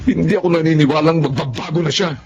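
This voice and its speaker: An actor with a Filipino accent playing a hot-headed, protective, suspicious sibling in a radio drama. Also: male voice, fairly high pitch, fairly even intonation, fast talker, gravelly. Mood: indignant. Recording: dull audio with faint noise.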